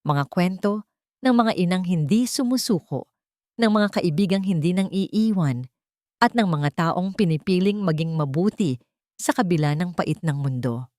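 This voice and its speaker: A woman narrates a dramatic audiobook story in a scripted style. Her voice sits on the low side. The sound is dry and close, free of room echo. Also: lively intonation, normal speed, a little mellow.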